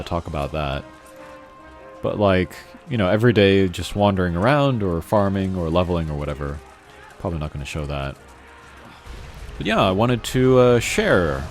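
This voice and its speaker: A man hosting a gaming channel, giving casual, rambling and hesitant playthrough commentary. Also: sits low. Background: game music.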